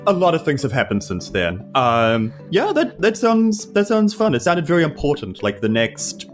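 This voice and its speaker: New Zealand man, fairly high and animated, dry joking tabletop player, improvised banter. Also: normal speed, soft background music. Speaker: player in a tabletop role-playing podcast. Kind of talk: casual improvised role-play banter.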